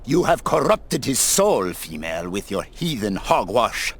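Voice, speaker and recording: An actor voicing a stern, fanatical monastery priest in an adventure game, preachy and imperious. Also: male voice, on the low side, sing-song, a little slow, breathy edge, full character voice. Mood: stern, condemning.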